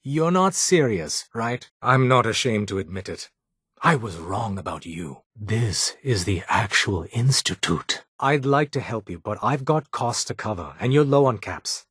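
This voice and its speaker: A man's voice: on the low side, expressive, measured pace, fairly bright tone, breathy edge, no echo, exaggerated voice. A man playing a brusque, haughty underground doctor in a video game, with a British accent and a dry, sardonic, formal manner. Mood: grave. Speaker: medic in a secret resistance group. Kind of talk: video game character voice acting.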